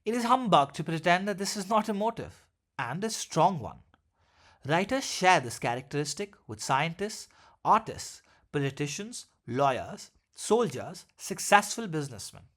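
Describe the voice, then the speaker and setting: A man narrates a literary essay from a script. His voice sits a little higher than average and rises and falls in an animated way. He phrases deliberately, pausing often between short groups of words. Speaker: essay reader.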